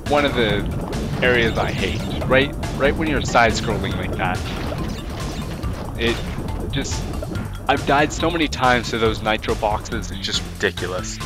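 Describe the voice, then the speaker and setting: A man's voice: medium pitch, unhurried, long pauses. A man with a cold, sniffling a bit, giving rambling, playful commentary while he plays a video game. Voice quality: rambling, self-talking, playful jokes at the game. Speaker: let's play host. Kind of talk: casual gameplay commentary. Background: loud game music.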